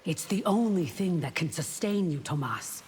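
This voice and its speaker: A woman acts a fierce, loyal bodyguard from a fighting game. Her voice sits a little low and moves widely as she delivers formal, defiant lines. It rings in a long reverb with faint noise behind. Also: expressive, normal speed, darkish tone, lightly acted. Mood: proud, composed. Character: fierce, devout, loyal female warrior bodyguard. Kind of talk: video game fight intro dialogue. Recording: reverberant hall, noisy game backdrop.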